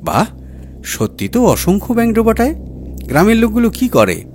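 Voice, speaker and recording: A man's voice: high-pitched, huge pitch swings, moderate pace, darkish tone, a little hiss or hum, voice pushed up. A man voicing a jovial, open-hearted businessman husband in an audio story, exclaiming with animation. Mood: amazed, enthusiastic. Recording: music bed under the voice.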